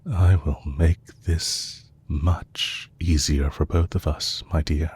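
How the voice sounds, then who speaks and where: A man playing a composed, courteous villain leader who is possessive and lovestruck, speaking formally and deliberately in an intimate romantic audio roleplay. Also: rumbling low, fairly even intonation, a little mellow, breathy edge, heavily acted. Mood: measured, tender. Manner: formal, deliberate, courtly phrasing with long pauses.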